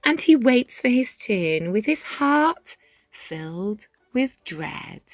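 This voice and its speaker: A woman reading a rhyming children's picture book aloud, with measured, dramatic phrasing and deliberate pauses. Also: on the high side, big pitch swings, dry recording. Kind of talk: expressive children's read-aloud narration in rhyme. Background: music.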